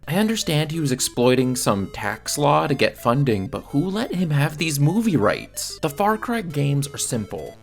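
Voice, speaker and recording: Give the voice of a male video reviewer with a creaky edge and lively, swinging pitch. He delivers scripted commentary on films, blunt and exasperated, with light music behind him.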